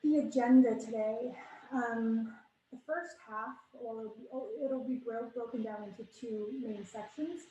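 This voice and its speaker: A woman's voice: on the high side, steady tone, measured pace, mellow, echoey room, a little hiss or hum, rough recording. A young adult woman from Canada giving an online conference talk in a loose, conversational way, with lots of fillers and restarts. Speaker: conference speaker.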